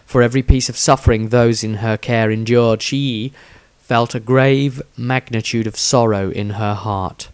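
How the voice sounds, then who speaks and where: A man's voice: fairly low pitch, clear, resonant tone, no echo. Male fantasy storyteller, dry sound. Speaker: author narrating his own story. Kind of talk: dramatic scripted fantasy audiobook narration.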